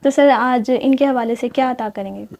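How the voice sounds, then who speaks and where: A woman's voice: on the high side, moderate pace, a little mellow. A Pakistani woman co-hosting a literary programme in a polite, formal, deferential style. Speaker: co-host.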